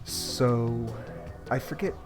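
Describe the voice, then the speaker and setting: Guy cohost doing a casual wry story recap, normal pitch, music playing behind. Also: drawn-out words. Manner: wry, colloquial retelling. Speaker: podcast co-host.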